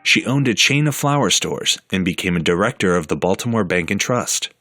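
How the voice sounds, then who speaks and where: A man narrating a documentary in an even, measured scripted delivery. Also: sits low, music in the background.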